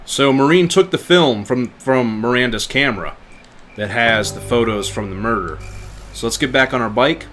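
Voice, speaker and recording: A man's voice: medium pitch, moderate pace, a bit bright, a little hiss or hum. A man giving casual playthrough commentary as a video game streamer. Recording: game music behind the voice. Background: video game audio.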